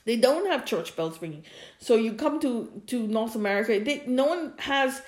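A woman's voice: on the high side, expressive, normal speed, darkish tone. A woman giving an informal opinion monologue as a podcast host, emphatic and repeating phrases for stress. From India.

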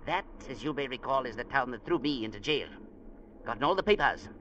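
A man's voice: mid-range voice, expressive, fairly quick, bright, forceful tone, lo-fi sound. An actor playing a cocky, hot-tempered, stubborn small sea captain in a 1940s radio drama, barking his orders. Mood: stubborn, scheming. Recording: noisy old radio drama recording.

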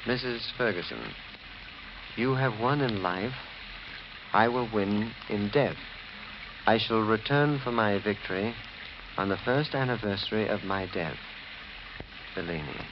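An actor playing a skeptical, impatient, domineering nephew in a 1940s radio mystery, speaking firmly and brusquely. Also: male voice, lowish voice, a little slow, long pauses, lightly acted. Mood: grave. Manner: firm, brusque, dramatic. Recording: muffled, noisy old radio recording.

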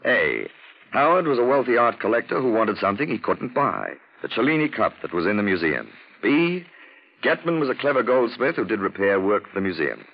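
Suave, husky, low-voiced radio detective. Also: male voice, big pitch swings, brisk, full character voice. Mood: smug, explaining. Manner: urbane, theatrical, drawling explanations in lettered points. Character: suave, witty, self-satisfied gentleman detective. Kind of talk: 1940s radio drama acting. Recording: dull, muffled vintage radio recording.

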